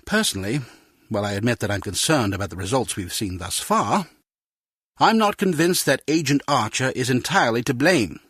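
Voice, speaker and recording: An actor playing a measured, authoritative spy agency director in a video game, with a husky voice and formal manner. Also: male voice, mid-range voice, big pitch swings, fairly bright tone, no echo, clean studio sound. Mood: measured, firm.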